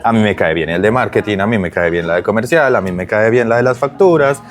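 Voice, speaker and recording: A man in his thirties from Colombia with a strong Colombian accent, chatting casually as an interview guest, with many pauses and restarts. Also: fairly low pitch, faint music behind. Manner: hesitant, many fillers and restarts. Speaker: guest, digital transformation consultant.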